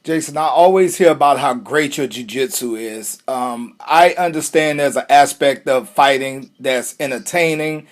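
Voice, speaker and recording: A man's voice: medium pitch, moderate pace, hardly any pauses. A man interviewing a fighter in a casual, friendly way, often calling him brother. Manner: friendly, calls guest brother.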